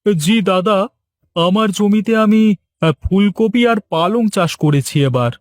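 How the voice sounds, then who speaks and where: A man's voice: high-pitched, slow. A man playing a polite, patient village vegetable farmer in a Bengali cartoon. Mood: polite, earnest. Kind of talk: cartoon story dialogue.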